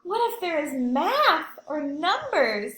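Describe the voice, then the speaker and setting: A woman's voice: high-pitched, very animated, slow pace, bright, forceful tone, roomy sound, exaggerated voice. A woman voicing a whiny, anxious, dramatic pigeon from a children's picture book, complaining with exaggerated emphasis. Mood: worried, exasperated. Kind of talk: animated children's picture book read-aloud.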